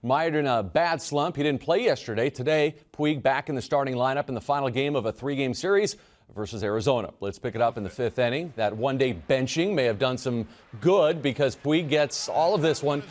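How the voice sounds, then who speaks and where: A man anchoring a local TV sports desk, reading highlights in a brisk, punchy manner. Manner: brisk, punchy play-by-play delivery.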